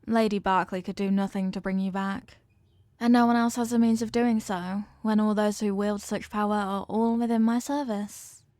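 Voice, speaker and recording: An evil queen, played by a woman in a scripted audio roleplay, speaks with formal, measured authority. She is possessive and obsessively in love, with menace veiled under courtly manners. The sound is dry, with very little room in it. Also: mid-range voice, steady tone, warm tone, no echo, close to an everyday voice. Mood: possessive reassurance. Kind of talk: scripted roleplay monologue, ASMR audio drama.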